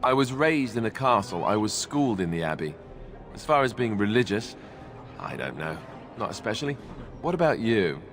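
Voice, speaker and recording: Earnest, flustered young warrior, animated pitch. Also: male voice, normal speed. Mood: wry, unsure. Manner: hesitant, incredulous, wry. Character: earnest young male warrior, schooled in an abbey, easily flustered. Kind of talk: video game companion banter. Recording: game music behind the voice.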